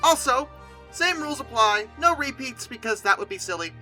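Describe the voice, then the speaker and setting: Bright, animated, conversational video host. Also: high voice, normal speed, soft background music. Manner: hesitant, self-correcting, conversational rambling. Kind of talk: casual commentary on film dinosaurs.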